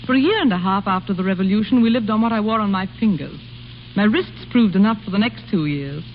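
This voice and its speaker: An impatient, aristocratic duchess played by a woman in 1930s radio drama style. She speaks very quickly and with command. The old recording is dull, with some noise. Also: medium pitch, natural voice. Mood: exasperated, firm. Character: imperious, impatient impoverished exiled Grand Duchess.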